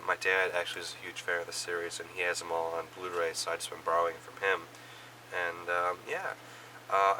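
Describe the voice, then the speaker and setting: A man with a cold, hoarse and coughing, casually rambling through a recap of the films and shows he watched. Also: low voice, far from the mic, noisy background. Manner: rambling, many fillers and restarts. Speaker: vlogger reviewing films and shows.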